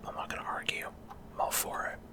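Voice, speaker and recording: A man speaks entirely in a breathy whisper, close to the microphone. He rambles casually through gameplay commentary for an ASMR video, often unsure of the details and feeling his way through each explanation. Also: fast. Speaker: gaming ASMR video host.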